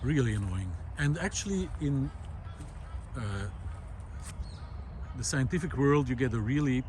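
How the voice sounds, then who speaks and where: A man, musing aloud in a walk-and-talk video. He talks reflectively and often pauses with "uh". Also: mid-range voice, expressive, darkish tone, slight background noise. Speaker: video essayist. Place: outdoor park lawn. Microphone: handheld camera while walking.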